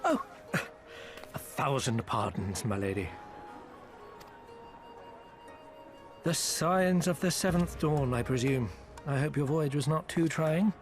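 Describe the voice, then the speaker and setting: Foppish trade envoy: theatrical, high-ish, animated delivery, music underneath. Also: male voice, measured pace. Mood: courteous. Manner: theatrical, flowery and ingratiating. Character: smooth-talking foppish male merchant agent. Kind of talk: video game cutscene. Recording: with background music.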